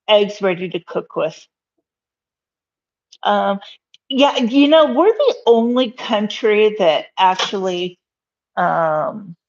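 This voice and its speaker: A woman in her fifties hosting a casual live cooking stream from her home kitchen, rambling with many fillers and little laughs, recorded on a phone on the counter. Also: medium pitch. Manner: rambling, giggly, lots of fillers. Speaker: livestream cooking host. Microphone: phone camera on counter. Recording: dull lo-fi phone audio.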